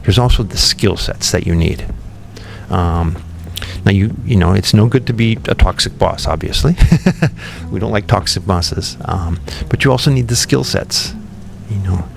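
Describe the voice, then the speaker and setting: A man guesting on a podcast, a company founder who explains his ideas in a thoughtful, relaxed way, with frequent ums and restarts. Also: sits low, measured pace, gravelly, slight background noise. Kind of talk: relaxed interview conversation.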